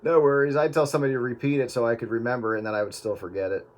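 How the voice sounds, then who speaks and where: A man chatting casually on a radio talk show, telling an anecdote in a relaxed, conversational way. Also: mid-range voice, racing, very noisy. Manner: anecdotal storyteller.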